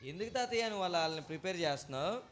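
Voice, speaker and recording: A man hosting a live church puppet show, questioning, exclaiming and gently admonishing. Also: sits high, expressive, rapid-fire, a little hiss or hum.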